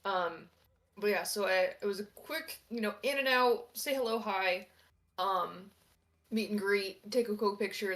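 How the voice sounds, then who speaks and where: A woman's voice: medium pitch, fairly bright tone. Woman rambling casually on a livestream. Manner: rambling, conversational. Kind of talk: casual livestream chat, personal anecdotes.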